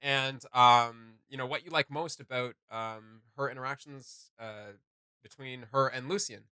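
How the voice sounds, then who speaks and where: A man's voice: medium pitch, steady tone, a little slow, bright, forceful tone, smooth, dry recording. A man hosting a reaction video in casual chat, rambling a little and often hesitating as he searches for words.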